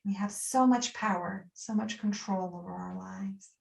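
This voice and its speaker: Woman, meditation teacher, medium pitch, soothing, gentle instructions, short phrases with pauses, echoey roomy recording. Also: fairly even intonation, gravelly, recorded from a distance. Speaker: yoga nidra teacher. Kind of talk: guided meditation, spoken live and unscripted.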